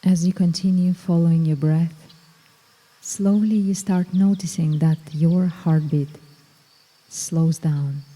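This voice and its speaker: A woman guiding a meditation in a slow, soft, soothing way, with long pauses between phrases. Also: lowish voice, fairly even intonation, long reverb tail. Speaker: meditation guide. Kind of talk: guided meditation.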